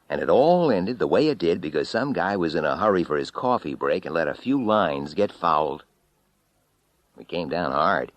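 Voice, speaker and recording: Deep-voiced man reading a dramatic story. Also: dry recording. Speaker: audiobook reader. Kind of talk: dramatic first-person story narration.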